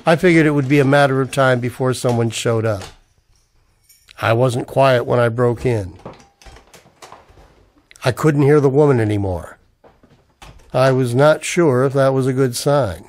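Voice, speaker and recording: A man's voice: mid-range voice, a little slow, long pauses, natural voice. An actor playing a weary, cynical man in a scripted radio-style noir drama. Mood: brooding. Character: weary, cynical, down-on-his-luck man narrating a noir tale. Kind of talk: scripted noir narration in the manner of old radio plays.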